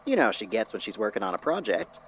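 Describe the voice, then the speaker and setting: An actor playing an eccentric, courteous, musing storyteller with a folksy, wordy, theatrical manner in an audio drama. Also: male voice, on the low side, very animated, quick pace, fairly bright tone. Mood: affable, chatty. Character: eccentric, courteous, philosophical storyteller man from Tennessee. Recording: dull lo-fi audio with clear noise.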